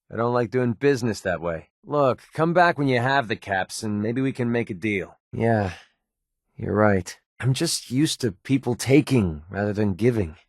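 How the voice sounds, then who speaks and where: Wry young American mercenary, dry sound. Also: male voice, on the low side, dry recording. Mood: earnest. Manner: casual, sardonic, grumbling. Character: wry young male mercenary sniper in a post-apocalyptic wasteland. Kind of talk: video game companion dialogue.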